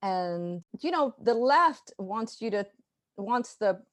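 Lively New woman on a call. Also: mid-range voice, takes it slowly. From New York, USA. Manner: animated, laughing often, restarts. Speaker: guest artist. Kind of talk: casual interview chat. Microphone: video call.

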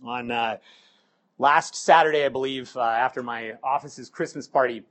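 A middle-aged man with a cold, sounding hoarse, giving a halting sermon full of ums and uhs. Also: medium pitch, a bit bright. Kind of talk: sermon with scripture reading.

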